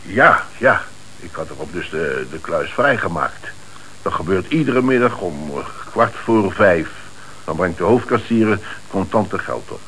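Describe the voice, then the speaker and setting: Hissy radio-play recording, low-voiced bank manager. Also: male voice, lively intonation, a little slow, fairly bright tone, poor audio. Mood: hesitant. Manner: hesitant, formal. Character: troubled, lenient male bank manager under police questioning.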